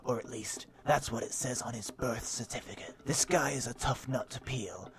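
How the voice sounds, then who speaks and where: A man voicing a self-important, dim hardboiled private eye, narrating a deadpan film noir parody in a melodramatic way. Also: mid-range voice, normal speed, a loud crowd, close to an everyday voice. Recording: music behind the voice. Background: background music.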